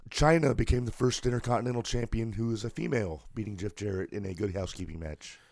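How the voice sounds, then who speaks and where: A man co-hosting a casual wrestling podcast, hoarse today, relaxed as he works through a list of dates. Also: on the low side, quick pace, no room sound. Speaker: podcast co-host.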